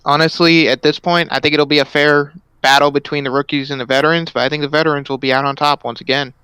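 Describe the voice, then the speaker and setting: Crisp dry-voiced casual color commentator. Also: male voice, fairly high pitch, level tone, fast, pushed and bright, dry recording. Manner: casual, hedging answers with "honestly" and "I think". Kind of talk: sim racing broadcast commentary.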